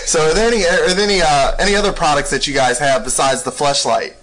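A man's voice: on the high side, steady tone, quick pace, fairly bright tone, poor audio. A man co-hosting an internet radio show, asking interview questions in an informal, jokey way. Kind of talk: casual phone interview questioning.

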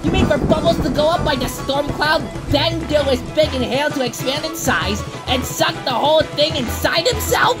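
A woman performing cartoon animal characters in an expressive comic dub. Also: high-pitched, normal speed, hardly any pauses. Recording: voice under loud background music.

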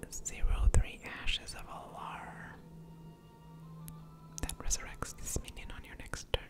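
Woman, soft whisper, unhurried pace, mouth sounds, ASMR game commentary, thinking aloud. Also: loud music behind the voice. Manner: slow, musing aloud over each move. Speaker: ASMR gamer, host.

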